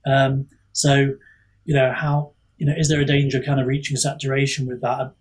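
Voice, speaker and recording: A man's voice: medium pitch, monotone, moderate pace, poor audio. A man chatting casually on a podcast, picking his words in a thoughtful, hesitant way. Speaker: indie author on a podcast.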